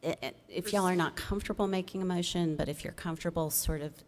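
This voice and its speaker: Low-pitched woman at a public meeting. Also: fast, a little mellow. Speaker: city staff presenting cases to a review commission. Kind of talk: informal public meeting talk.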